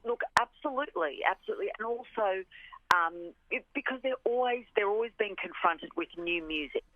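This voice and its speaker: A woman's voice: medium pitch, lively intonation, a little fast, no echo. Enthusiastic woman on a phone line. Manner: enthusiastic, emphatic, repeats phrases for emphasis. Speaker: orchestra representative, interview guest. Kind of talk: radio interview, conversational. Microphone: telephone. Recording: tinny, band-limited phone line.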